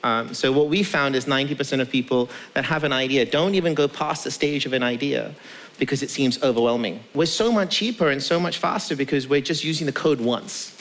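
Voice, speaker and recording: A man's voice: mid-range voice, brisk, a bit bright. A man speaking conversationally as a tech founder on stage, emphatic, building points with repetition and rhetorical questions. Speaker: tech company founder, guest.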